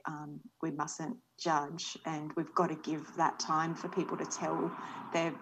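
An Australian woman in her forties speaks over a video call, her voice sitting a little low. She talks earnestly and reflectively, softly and without hurry. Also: little pitch movement, unhurried, background noise. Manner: earnest, thoughtful, soft-spoken. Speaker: panel guest. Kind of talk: reflective panel talk. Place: small indoor room. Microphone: video call webcam.

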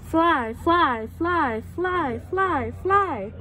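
A young woman voicing an excitable little pig who is eager to fly. She reads the lines brightly, with lots of exclamations. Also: very high-pitched, very slow, soft background music, voice pushed up, full character voice.

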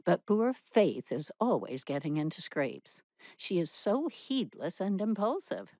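A woman voicing an opinionated, sharp-tongued spinster who gossips with contempt and bitterness in a storybook reading. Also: on the low side, very animated, measured pace, no room sound, clean studio sound. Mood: indignant gossip.

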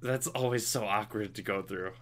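A man's voice: fairly low pitch, normal speed, fairly bright tone. A man in his twenties giving casual, laughing commentary while playing a game at home. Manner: laughing, chatty, self-deprecating. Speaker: let's play host. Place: home room, indoors.

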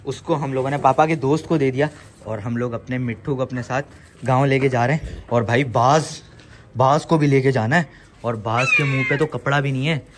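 A man's voice: mid-range voice, moderate pace. Chatty young man vlogging in noisy car. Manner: excited, calls out loudly when flustered. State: sore throat. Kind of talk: casual travel vlog chat. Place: outdoors in a yard, then inside a car. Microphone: handheld camera at arm's length. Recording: noisy street and car background.

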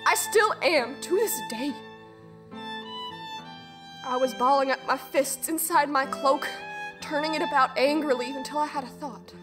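Actress as young fairy-tale heroine, high voice, bitter and traumatised, intense deliberate audio drama monologue, music underneath. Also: female voice, a bit bright. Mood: angry, anguished. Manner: intense, deliberate, emotional storytelling.

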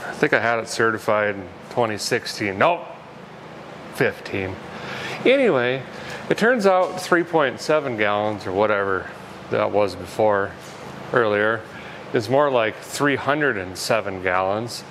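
A man in his thirties talking casually as he works, with a folksy, deadpan, rambling humor, as host of a garage repair vlog. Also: on the low side, measured pace. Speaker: host of a car repair show. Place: large auto repair garage with concrete floor. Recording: clear background noise, garage ambience.

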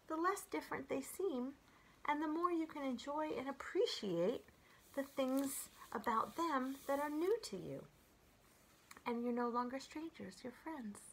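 A woman in her forties reading children's poems aloud and chatting between them in a gentle, expressive way, as a storyteller speaking to young children. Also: on the high side, a little hiss or hum. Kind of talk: read-aloud of children's poems with casual commentary. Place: small furnished room at home, indoors.

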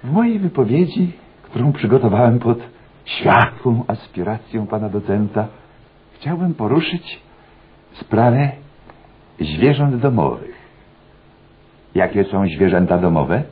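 A dim, self-satisfied trainee reciting to please his betters in a radio cabaret. The voice is very husky and fairly low, swooping through ponderous officialese, thin as a phone line. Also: big pitch swings, unhurried, pauses often, exaggerated voice. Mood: solemn, fawning. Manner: ponderous, bureaucratic, muddled recitation. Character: pompous, bootlicking dim male trainee giving a solemn recitation. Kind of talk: satirical radio cabaret acting. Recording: tinny, band-limited radio recording.

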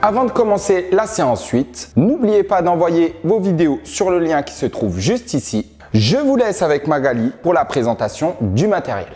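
A man's voice: fairly high pitch, very animated, moderate pace, darkish tone, soft background music. A man, a gym coach, casually presenting an exercise session from a living room.

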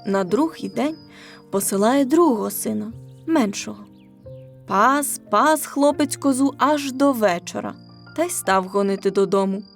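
A woman narrating a scripted folk tale for children, with expressive, measured delivery. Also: on the high side, creaky, faint music behind. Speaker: storyteller.

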